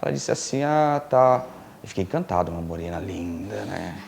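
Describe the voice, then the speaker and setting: A middle-aged man from Brazil, a filmmaker and producer telling stories as a TV interview guest, animated and laughing often, with many fillers and restarts. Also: low-pitched, a little fast, vocal fry. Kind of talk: casual storytelling in a TV interview.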